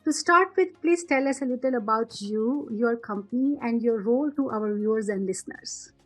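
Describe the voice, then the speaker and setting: A woman hosts an interview in a fairly high voice. She introduces the guest and puts questions to them, with faint music in the background. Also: normal speed, rough recording. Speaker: interview host.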